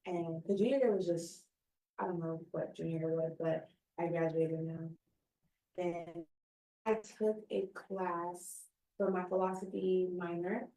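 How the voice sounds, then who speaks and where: A woman's voice: lowish voice, fairly even intonation, measured pace, dark tone. A young woman in her twenties, a recent college graduate, speaking earnestly and expressively in a casual conversation. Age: 20s. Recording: roomy sound, somewhat distant mic.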